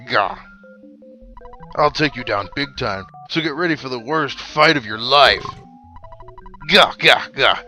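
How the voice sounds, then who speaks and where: A man plays a villainous blob of a game boss, taunting and boastful. The delivery is loud and guttural, broken up by barking, gagging laughter, with clear music playing behind. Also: mid-range voice. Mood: menacing, gloating. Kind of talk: reading video game dialogue aloud.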